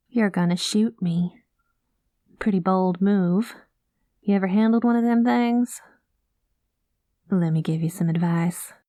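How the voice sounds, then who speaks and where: A woman playing a rogue space pilot in an ASMR roleplay, talking close to the mic in a soft, folksy cowboy drawl that drops into whispers. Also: on the low side, no room sound. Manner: soft-spoken, slips into whispers. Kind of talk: ASMR roleplay, spoken to the listener.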